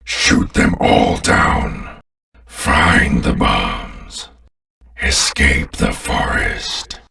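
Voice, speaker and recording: A man's voice: extremely low pitch, animated, drawn-out words, bright, forceful tone, recorded from a distance, exaggerated voice. A man voicing an ancient, menacing alien overlord in a video game, with a growling, imperious and contemptuous delivery. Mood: commanding, menacing. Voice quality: gravelly, growling. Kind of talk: video game villain voice lines.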